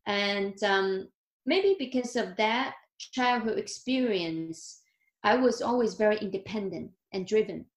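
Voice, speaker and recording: A woman's voice: medium pitch, unhurried. Earnest woman with a Chinese accent, echoey call. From China. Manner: earnest and grateful, gentle, small grammar slips. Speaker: guest speaker. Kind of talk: interview, telling her life story. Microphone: video call.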